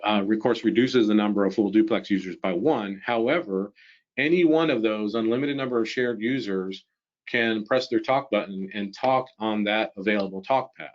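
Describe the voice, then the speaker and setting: A middle-aged American man with a low voice explains a product in a webinar. He talks conversationally, as if walking people through slides. The audio is slightly dull and lo-fi, like a video call from a small room. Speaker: webinar presenter, product trainer. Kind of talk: informal product webinar presentation.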